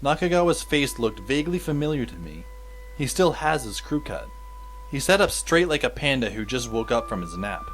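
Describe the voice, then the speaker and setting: A man playing a wry, weary teenage schoolboy who narrates an audio drama in a dry, deadpan way. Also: mid-range voice, moderate pace, a little hiss or hum. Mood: wry, pensive. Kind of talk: scripted first-person audio drama narration.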